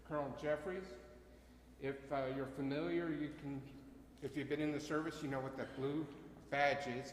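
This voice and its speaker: A man running a ceremony as its emcee, with a loud voice and a halting way of talking, full of uhs and self-corrections. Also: medium pitch, a little hiss or hum. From Bucks County, Pennsylvania. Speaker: ceremony emcee and CAP wing commander. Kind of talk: ceremony address. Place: chapel. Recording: echoey chapel with long reverb.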